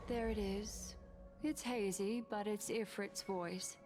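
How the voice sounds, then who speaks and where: An actress as a poised woman of mystery in a video game speaks in a measured, theatrical way. She sounds knowing and ominous, with music clearly playing behind her. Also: medium pitch, fairly even intonation, natural voice. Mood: ominous, narrating. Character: poised, ominous woman who reads lingering memories. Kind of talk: scripted video game acting.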